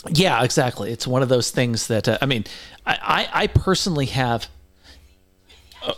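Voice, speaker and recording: A man co-hosting a casual podcast, talking in a halting, stammering way and often repeating his words. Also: medium pitch, expressive, a bit bright. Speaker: podcast co-host. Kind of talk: casual chat.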